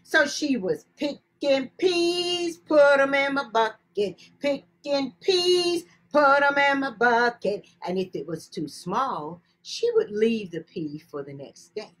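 A middle-aged woman telling children's folktales in a theatrical, playful way, with dramatic pauses and repeated phrases. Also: high voice, very animated. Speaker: storyteller. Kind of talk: animated children's folktale storytelling with sung refrains. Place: indoors, video call.

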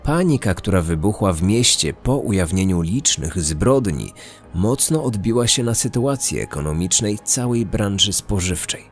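Guy doing serious true crime podcast narration, lower voice, some music under it. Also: expressive, moderate pace. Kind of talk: scripted documentary narration.

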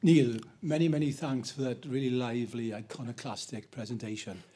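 A man's voice: medium pitch, fairly even intonation, a little fast, mellow, slightly rough. A man from the audience politely challenging the speaker at a conference. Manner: courteous, pointed challenge. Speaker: audience member. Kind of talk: conference question.

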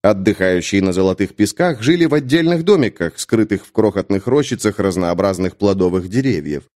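A man narrating a fantasy audiobook in an expressive, scripted storytelling style. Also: fairly low pitch, fairly quick.

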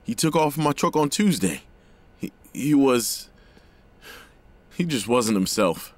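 A man's voice: medium pitch, very animated, a little slow, no echo. An actor playing a worried, desperate father in an audio drama, earnest, urgent and emotional. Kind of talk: dramatic audio fiction acting.

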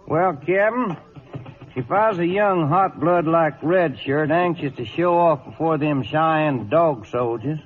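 Male actor doing shrewd cavalry sergeant, folksy, laconic, highish male voice, thin vintage radio sound. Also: a little slow, close to an everyday voice. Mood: sly. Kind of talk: 1940s-50s radio drama acting. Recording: tinny, noisy vintage radio recording.

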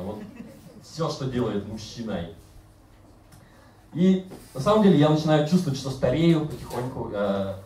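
Deadpan man in his thirties, roomy live room. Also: medium pitch, recorded from a distance, slight background noise. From Siberia. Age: 30s. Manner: deadpan, self-mocking, acts out other voices. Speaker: stand-up comedian. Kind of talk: stand-up routine. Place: bar stage with audience. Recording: roomy live bar recording from afar.

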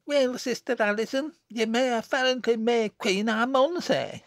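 Man voicing a shrill, spiteful little Lancashire girl. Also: wildly swooping pitch, measured pace, breathy edge, no room sound, studio quality, full character voice. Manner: sharp, taunting, threatening. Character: spiteful, peevish, sickly little girl with sharp tongue. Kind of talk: audiobook character acting.

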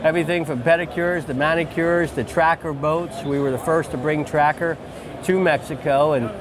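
A man's voice: a little high, steady tone, normal speed, noisy background. Enthusiastic man telling lodge stories. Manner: enthusiastic, storytelling, repeats phrases. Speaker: fishing lodge owner and outfitter. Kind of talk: trade-show interview. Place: busy convention hall booth, indoors. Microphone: lapel mic. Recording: crowd chatter behind.

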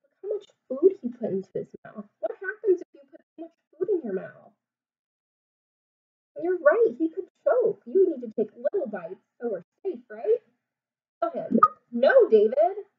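A woman in her twenties reading aloud to young children like a teacher, asking them simple questions in an animated way. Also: sits high, normal speed. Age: 20s. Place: small home room, indoors. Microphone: webcam or laptop mic at arm's length. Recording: dull webcam sound, distant mic.

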